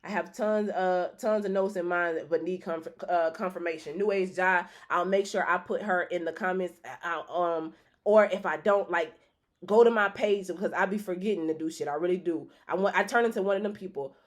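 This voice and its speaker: A woman's voice: lowish voice, normal speed. A woman hosting a live stream and reading tarot cards, chatting in a rambling, loose way with many restarts and casual swearing.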